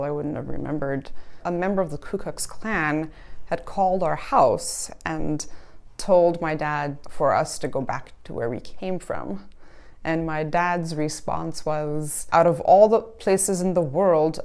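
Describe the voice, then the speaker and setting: Low-pitched woman in her thirties, slight german cadence, grew up in california, calm and earnest. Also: gravelly. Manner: earnest, reflective, measured. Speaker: interviewee. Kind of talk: personal testimony to camera.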